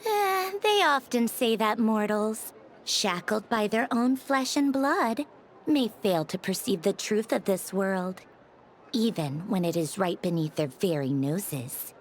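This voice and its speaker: A woman's voice: mid-range voice, expressive, slow pace, a little hiss or hum. A woman playing a refined young female game villain who speaks pompously, with condescending, menacing politeness. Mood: disdainful. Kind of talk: theatrical scripted game dialogue. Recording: music behind the voice.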